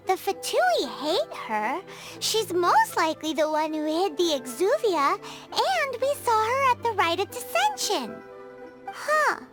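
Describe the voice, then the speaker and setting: Casting: childlike fairy mascot in a game, extremely high squeaky voice, blunt and curious, animated delivery. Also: female voice, full character voice. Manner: speaks of self in third person. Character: tiny chirpy female fairy companion, childlike, curious and blunt. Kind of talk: English game dub cutscene acting.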